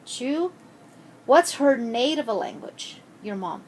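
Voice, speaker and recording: A woman interviewing a child, asking gentle, patient questions with frequent hesitations. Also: fairly high pitch, expressive, slow pace, slight background noise.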